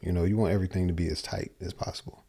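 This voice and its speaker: A man's voice: low-pitched, steady tone, rapid-fire, mellow, breathy edge. A man in his forties giving a casual guitar lesson from a small home studio, explaining in loose, rambling sentences that often correct themselves. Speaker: guitar teacher. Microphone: large dynamic mic on boom arm.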